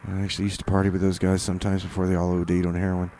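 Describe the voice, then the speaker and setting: A man's voice: deep voice, completely flat delivery, rapid-fire, mellow, a little hiss or hum. A man hosting a community radio music show, chatting casually and hesitantly between songs, with many fillers and restarts.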